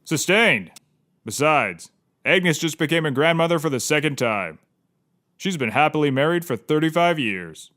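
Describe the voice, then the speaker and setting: A matter-of-fact judge, played by a man in a comedy. He delivers rulings dryly, in a slightly high voice. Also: lively intonation, moderate pace, a bit bright. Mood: deadpan. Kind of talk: scripted comedy acting.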